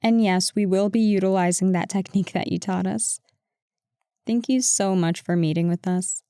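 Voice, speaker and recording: A woman's voice: medium pitch, fairly even intonation, moderate pace, mellow, clean tone, dry recording, studio quality, close to an everyday voice. A woman playing a defensive but affectionate girlfriend in an intimate audio roleplay, conversational and sarcastic, talking to a partner who is not heard. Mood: conciliatory, hesitant. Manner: conversational, exasperated, sarcastic, addressing an unheard partner.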